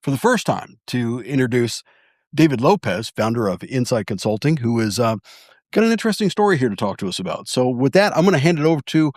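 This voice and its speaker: A man's voice: mid-range voice, very animated, fast, a little mellow, dry recording. A man introducing a studio podcast episode with an upbeat, friendly welcome. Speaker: podcast producer and co-host. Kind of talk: show introduction.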